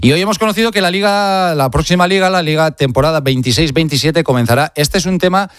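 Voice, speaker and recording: A man's voice: on the high side, quick pace, smooth, no room sound, studio quality. A man with a Spain Spanish accent presenting sports news as a radio host, emphatic and conversational, with rhetorical questions and asides.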